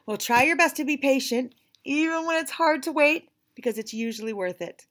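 A woman's voice: high voice, moderate pace, a bit bright. A middle-aged woman hosting a picture-book read-aloud, chatting to young listeners. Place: small indoor room with shelves.

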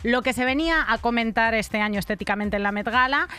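A woman's voice: on the high side, fast talker, bright, forceful tone, smooth. A middle-aged woman with a Castilian Spanish accent co-hosting a podcast, giving casual humorous commentary in an irreverent, emphatic, colloquial way on a close studio mic. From Spain. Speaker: podcast co-host. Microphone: close studio microphone.

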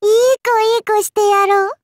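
A woman's voice: extremely high pitch, little pitch movement, moderate pace. A woman voicing an imperious, playful childlike serpent-god oni girl in a game, with archaic, haughty, teasing drawl. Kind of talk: game character voice lines.

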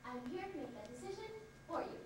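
A woman's voice: high-pitched, very animated, fast. A young woman on stage playing a pushy, lovelorn bride, coaxing and insistent in a theatrical way. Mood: brisk, triumphant. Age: young adult. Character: pushy, lovelorn armed bride demanding a stranger marry her. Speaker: high school stage actress. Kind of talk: live one-act comedy stage acting. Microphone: far camera. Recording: echoey noisy hall, distant camcorder microphone.

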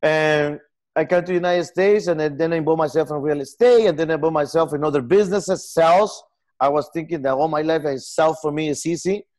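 Earnest Peruvian man with halting English. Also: a little high, darkish tone. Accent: Peruvian Spanish. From Peru. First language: Spanish. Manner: earnest, gestures a lot, halting non-native phrasing. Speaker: software company founder. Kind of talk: casual interview over video call. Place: home room with lamp and armchair.

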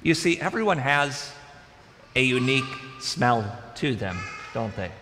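A middle-aged man preaching a sermon from the pulpit, animated and conversational. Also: medium pitch, measured pace, slight background noise. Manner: animated preaching with wide gestures, moving about behind the pulpit. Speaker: pastor. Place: large church sanctuary. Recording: long church reverb.

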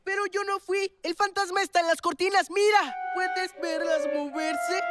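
A woman's voice: extremely high pitch, steady tone, normal speed. A woman with a Latin American accent voicing a cocky, mischievous young boy in a children's cartoon dub. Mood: teasing. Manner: exclamatory, scheming. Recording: music behind the voice.

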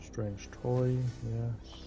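A man's voice: medium pitch, little pitch movement, very slow, creaky, over music. A young man who is a little ill, commentating a horror game on a headset and reading the in-game notes aloud. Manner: reads in-game notes aloud, muttering asides. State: slightly ill. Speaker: gaming streamer. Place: indoor room at a desk.

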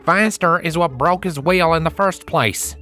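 A man's voice: a little high, unhurried, sharp tone, faint music behind, voice pushed up. A man voicing a bossy, practical female farm pony with a folksy country drawl. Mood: firm, patient.

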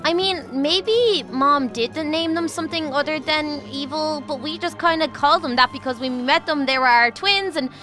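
A woman playing a young princess in a game roleplay, with a very high, bright voice. She takes charge earnestly and explains things to her siblings, with music playing underneath. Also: moderate pace, hardly any pauses. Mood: concerned. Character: young princess sister, earnest and bossy leader. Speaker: roleplay channel performer. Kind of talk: improvised children's game roleplay.

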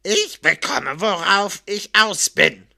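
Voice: mid-range voice, lively intonation, slow pace, creaky, bright, forceful tone, voice pushed up, exaggerated voice. A performer voicing a brutal, sadistic male guard who threatens and barks orders with a growl in an audiobook story. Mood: menacing fury. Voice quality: growling, thundering.

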